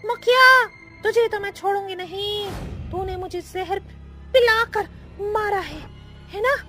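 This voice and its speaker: Cartoon witch, super high voice, evil and gloating, dramatic, music under it. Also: unhurried, pushed and bright, exaggerated voice. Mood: vengeful. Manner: menacing, theatrical. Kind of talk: Hindi horror cartoon voice acting.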